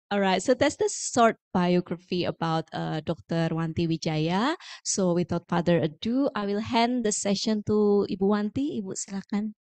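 A woman introduces a guest speaker, reading a prepared bio with small asides. Her voice sits at a middle pitch. The sound is dry and close. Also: expressive, measured pace, a little mellow, no room sound. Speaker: moderator. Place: lecture hall.

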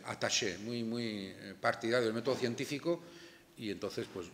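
An older man with an accent from Spain giving an informal, emphatic lecture, often digressing and asking rhetorical questions. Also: mid-range voice. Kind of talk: informal academic lecture to an audience. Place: indoor lecture room, seated at a table. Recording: echoey lecture room recording.

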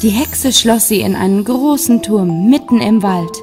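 A woman's voice: mid-range voice, measured pace, a little mellow. Woman narrating a fairy tale over music. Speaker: storyteller.

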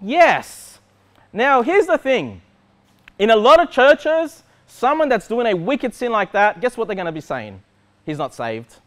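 A man's voice: very high voice, a little slow. A man, preaching a sermon as pastor in a church hall, asking questions and gesturing widely. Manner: animated preaching with wide gestures, rhetorical questions. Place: church hall with metal walls.

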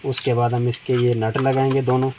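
A middle-aged man talks at an unhurried pace while his hands work on a tool. His voice stays level and barely rises or falls. He is recorded on a phone held over a shop counter, with clear background noise in the recording. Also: mid-range voice, flat delivery. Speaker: tool shop seller demonstrating a chainsaw. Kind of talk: step-by-step product demonstration.

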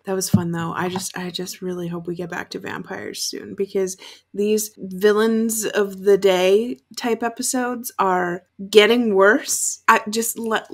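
A young woman gives off-the-cuff reaction commentary from a room at home. Her voice sits at an average pitch. She is blunt and swears freely. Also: a bit bright. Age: young adult. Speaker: reaction video host. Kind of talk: casual candid reaction commentary.

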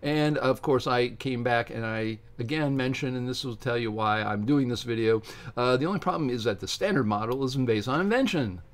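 A middle-aged man with an American accent giving casual, opinionated vlog commentary, emphatic, reading aloud haltingly from a book. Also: medium pitch. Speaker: science video host. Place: small home study with bookshelves.

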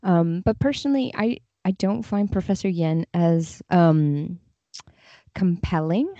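A woman's voice: fairly low pitch, measured pace, warm tone, no room sound. A woman talking reflectively and thoughtfully in conversation as an interview guest. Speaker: podcast guest, literary translator. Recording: slightly dull lo-fi audio.

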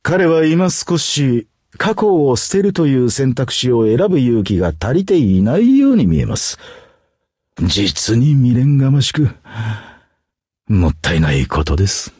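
An actor voicing a suave, poetic masked fox phantom thief in a video game, with flowery, courtly, dramatic phrasing. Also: male voice, medium pitch, very animated, unhurried, darkish tone, slightly rough, no room sound, studio quality, heavily acted. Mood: disdainful. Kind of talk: stylized Japanese game character voice acting.

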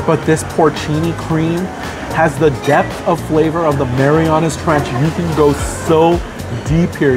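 A man in his thirties, a food vlogger, talking to the camera with eager, savoring praise while eating in a busy restaurant. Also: a little high. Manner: enthusiastic, savoring each bite with upbeat praise. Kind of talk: casual food review to camera. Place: busy restaurant dining room, indoors. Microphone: phone or camera held at arm's length. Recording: background music playing in restaurant.

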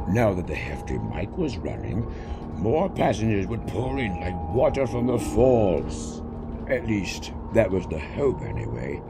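A man reading a scripted story as its narrator. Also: sits low. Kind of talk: scripted narration of fan fiction. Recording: clear background noise.

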